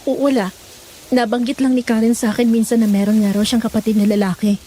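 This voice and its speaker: A woman's voice: a little high, little pitch movement, fast talker, warm tone, a little hiss or hum, close to an everyday voice. A woman playing a frightened, guarded young village woman in an audio horror drama, stammering and pleading. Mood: anxious.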